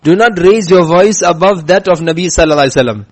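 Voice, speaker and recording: A man with a rather high voice delivers a religious talk. He slows down to stress his lessons and tells a story as he goes. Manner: emphatic, slows down to stress points. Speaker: preacher. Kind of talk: religious lecture with chanted scripture.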